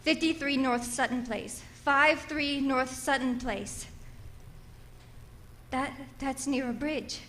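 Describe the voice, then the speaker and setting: An actress playing a nervous, demanding wealthy invalid wife in a radio thriller, flustered and stammering, with haughty insistence. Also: female voice, sits high, slow pace, fairly bright tone, a little hiss or hum. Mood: anxious, insistent. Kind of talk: old-time radio thriller acting.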